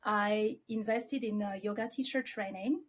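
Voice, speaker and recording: A woman's voice: mid-range voice, measured pace. A woman in her forties from France, with a French accent, talking reflectively about herself as an interview guest. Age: 40s.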